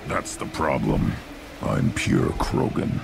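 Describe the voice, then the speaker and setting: A man playing a young, proud, belligerent alien warrior in a video game, blunt and cocky with a very deep growl. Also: animated, slow, creaky, noisy background, heavily acted. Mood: defiant. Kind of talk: dramatic video game acting.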